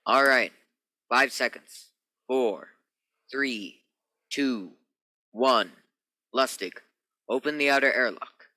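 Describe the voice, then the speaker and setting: An actor playing a firm spaceship captain in a science fiction radio play, giving orders with authority. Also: male voice, mid-range voice, slow, pauses often, sharp tone, no room sound, poor audio. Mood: resolute.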